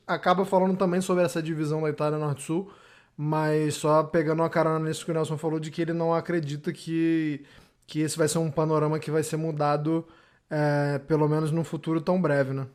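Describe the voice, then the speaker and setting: A man's voice: on the high side, flat delivery, moderate pace, darkish tone. A man hosting a podcast, asking other panelists long, rambling questions filled with tag words. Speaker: podcast host. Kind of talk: casual panel discussion.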